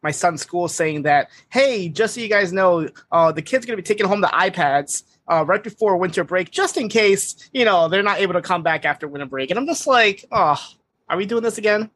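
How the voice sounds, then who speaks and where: A man, a guest on a podcast, talking in a relaxed way while losing his voice. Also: high voice, brisk. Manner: relaxed and talkative. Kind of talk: casual chat.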